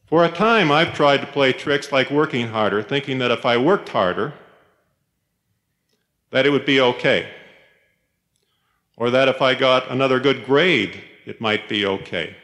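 A man, a professor, giving a reflective, sermon-like chapel talk in a measured storytelling manner, with pauses. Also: medium pitch, fairly bright tone. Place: large chapel, speaking to a congregation. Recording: reverberant chapel hall, 1990s cassette tape.

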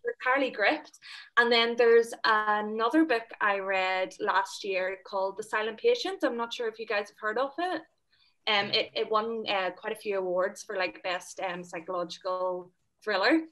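A woman's voice: medium pitch, normal speed, a bit bright, rough recording. A young woman with a Northern Irish accent chatting on a video call from home, enthusiastic and full of fillers. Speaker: panel guest. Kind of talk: casual video call chat. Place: home room indoors. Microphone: laptop webcam on video call.

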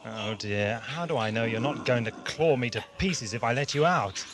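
A man's voice: mid-range voice, lively intonation, moderate pace, natural voice. A man playing a wry, principled time-traveling hero in an audio drama, sardonic and theatrical. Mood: concerned, muttering. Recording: noisy amateur audio drama recording.

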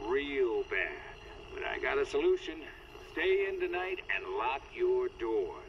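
An actor playing a brash, irreverent late-night radio call-in host in a video game, offhand and mocking. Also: male voice, mid-range voice, sing-song, measured pace. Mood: mock grave. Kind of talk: radio talk show acting in game cutscene. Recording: dull radio broadcast, loud noise.

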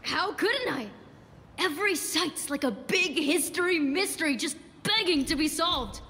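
A woman's voice: very high voice, animated, measured pace, sharp tone, heavily acted. A woman voicing a bubbly, eager young archaeologist in a video game. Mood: cheerful. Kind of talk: video game character dialogue. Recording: reverberant hall.

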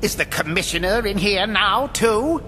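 A pompous older asylum boss in a video game, high and animated for a man. He sounds indignant and sharp, in long reverb. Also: normal speed. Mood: officious irritation. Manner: pompous, defiant. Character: indignant, officious older male asylum director. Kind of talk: video game cutscene acting. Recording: long reverb, faint rumbling noise.

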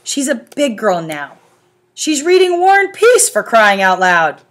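Woman doing a stern exasperated dad, no real deep voice. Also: sing-song, unhurried, fairly bright tone. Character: impatient, teasing middle-aged father.

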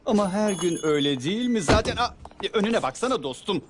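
A man playing a vain, scheming young rival suitor in an animated cartoon dub, with a smug, theatrical delivery. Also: sits high, quick pace, background noise.

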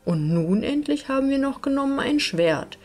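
A woman's voice: sits high, lively intonation, moderate pace, a little mellow, soft background music. A woman narrating a fairytale audiobook expressively, with careful phrasing.

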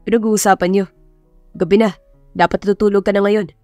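A reserved male professor, acted by a woman narrator speaks with curt authority. He is stern but quietly caring, in an audio romance with music behind. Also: medium pitch, level tone, fairly quick, no echo, lightly acted. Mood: questioning, bossy.

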